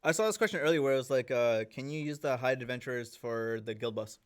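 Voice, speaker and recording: A man co-hosting a game livestream, teasing his partner with short quick questions. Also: mid-range voice. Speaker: co-host on a game stream. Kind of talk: casual livestream chat.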